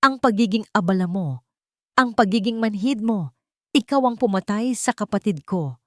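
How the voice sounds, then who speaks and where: A woman voicing a spiteful, envious and scheming aunt in an audio story, haughty and accusing, with a shrill edge. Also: expressive, moderate pace, no echo, clean studio sound, close to an everyday voice. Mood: spiteful fury.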